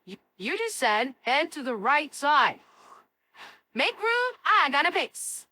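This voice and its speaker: A woman voicing a spirited, cocky and excitable cartoon kid with an exclamatory, dramatic delivery. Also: high-pitched, slow, no room sound, exaggerated voice. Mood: argumentative. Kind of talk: animated series voice acting.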